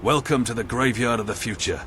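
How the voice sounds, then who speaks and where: A man's voice: medium pitch, fairly quick, bright, forceful tone, a little hiss or hum, close to an everyday voice. Man acting wry, watchful soldier companion. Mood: dry, wary. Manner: dry, wry asides. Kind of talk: video game character dialogue.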